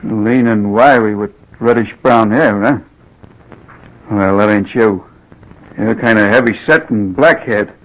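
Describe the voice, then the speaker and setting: An actor in an old-time radio drama playing a blunt, suspicious city bartender who talks in slang. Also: male voice, on the low side, a little slow, lightly acted, low-quality recording. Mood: easing off.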